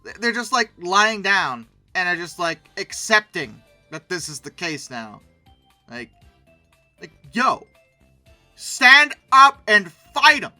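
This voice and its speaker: Animated, bright-voiced commentator with lively pitch swings. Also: takes it slowly, soft background music. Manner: animated, mock-indignant, exclaiming; rambling, conversational asides to the pictures. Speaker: host reviewing old dinosaur illustrations. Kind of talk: casual humorous commentary.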